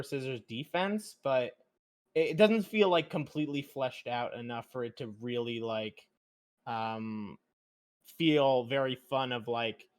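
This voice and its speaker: A man co-hosting a gaming podcast, talking in a relaxed, hesitant way. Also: medium pitch. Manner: relaxed, hesitant, many backchannels. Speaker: podcast co-host. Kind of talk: casual gaming chat.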